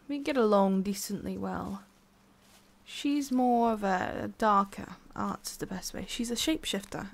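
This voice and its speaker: Creaky-voiced tsundere witch, played by a woman. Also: mid-range voice. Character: centuries-old tsundere forest witch, haughty but secretly soft, woman. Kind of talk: ASMR roleplay, addressing the listener.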